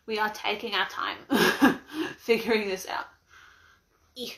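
A woman's voice: mid-range voice, steady tone, measured pace, creaky, fairly bright tone. A young woman with an Australian accent, chatting casually and laughing often as she unboxes something on a phone live stream in her bedroom. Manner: chatty, giggly, rambling with frequent laughs. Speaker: vlogger. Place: small cluttered bedroom, indoors. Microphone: phone camera propped on a mug.

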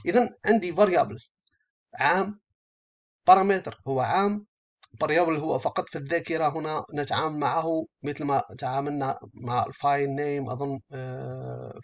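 Hesitant higher-voiced man teaching software. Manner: hesitant, halting, often rephrasing. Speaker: software instructor. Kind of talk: screen-share tutorial, explaining step by step.

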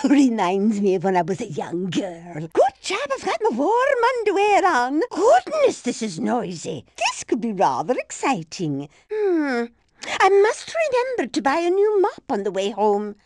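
A woman's voice: a little high, sing-song, measured pace, darkish tone, no room sound, studio quality, heavily acted. A woman voicing a dotty, chatty grandmother driving in a race, with prim, old-fashioned exclamations. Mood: dithering. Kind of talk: video game voice lines.

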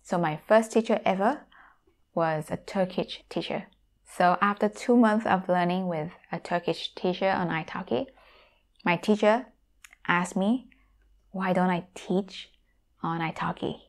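A woman's voice: on the low side, measured pace. A woman in her thirties from Thailand, speaking English with a Thai accent, talking casually and directly to viewers from a room at home on a lapel mic. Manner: friendly, direct address to viewers. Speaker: YouTuber and language teacher. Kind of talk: casual talk to camera. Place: home room with guitars on the wall.

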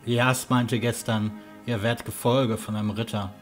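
A man's voice: on the low side, little pitch movement, measured pace, faint music behind, close to an everyday voice. A man playing a friendly, helpful and somewhat hesitant castle huntsman in a fantasy audio drama. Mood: friendly, curious.

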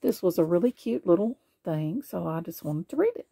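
A woman reads a children's storybook aloud with expression. Her voice is husky and gravelly, and its pitch moves around in a lively way. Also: fairly quick, dry recording, clean studio sound. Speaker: storybook reader.

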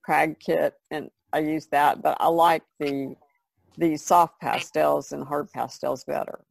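A woman's voice: sits low, steady tone, measured pace, gravelly, no echo. A woman explaining things in a casual, conversational way, as an artist guest on a podcast.

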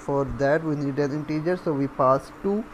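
A man giving a step-by-step coding tutorial, halting as he thinks aloud while typing. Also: fairly high pitch, fairly even intonation, poor audio. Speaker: programming tutorial instructor. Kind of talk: step-by-step coding screencast narration. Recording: faint background noise.

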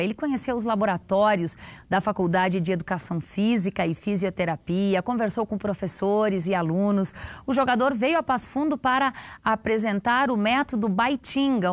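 A woman's voice: mid-range voice, no echo. Woman news anchor, dry studio sound. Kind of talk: scripted news presenting. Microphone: lapel mic.

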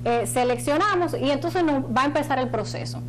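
A woman in her thirties explaining procedures as a studio guest, in halting phrases with repeated words. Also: high-pitched, slight background noise. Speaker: financial agency spokeswoman, studio guest. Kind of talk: explanatory interview talk. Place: small indoor TV studio.

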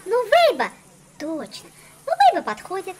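A woman's voice: very high-pitched, wildly swooping pitch, measured pace, heavy background noise, heavily acted. A woman playing a mischievous young doll making prank calls in a children's cartoon, with a breezy, gushing delivery. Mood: excited.

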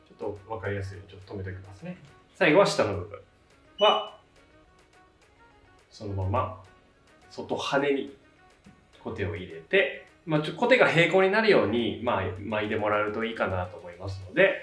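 Echoey, lively-pitched man giving a tutorial. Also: normal speed, pauses often. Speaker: hairdresser giving a tutorial, channel host. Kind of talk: casual step-by-step instructional narration. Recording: roomy echo, distant mic, faint music. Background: faint background music.

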